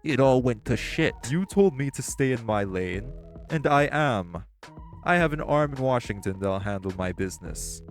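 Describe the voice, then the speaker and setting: A man voicing hostile crime bosses in an audio roleplay drama, profane and confrontational, with soft music behind. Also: medium pitch, lively intonation, moderate pace, lightly acted. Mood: sneering. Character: hostile male crime bosses, magic gangsters.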